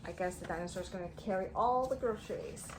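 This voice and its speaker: A woman teacher reading a story aloud to young children in an expressive way, using simple phrasing and putting questions to them. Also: medium pitch, fast talker, background noise. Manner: expressive, emphatic delivery.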